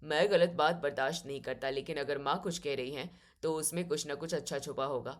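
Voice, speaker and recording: A mild young husband from a storybook family drama, voiced by a woman. His voice is low and calm as he dutifully explains himself, never giving ground. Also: brisk, natural voice. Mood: calm, defensive.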